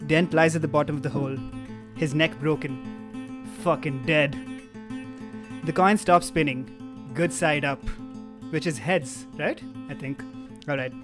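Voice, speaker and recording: A man with a fairly high voice reads out stage directions as the narrator of a table read. He is dramatic but drops into casual asides. Guitar music plays clearly behind him. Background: live guitar music.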